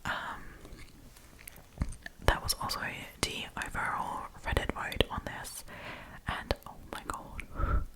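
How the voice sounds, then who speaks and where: Female ASMR storytime host, fully whispered delivery, soft and informal. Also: takes it slowly, pauses often, rough recording. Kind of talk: whispered ASMR story reading with casual asides.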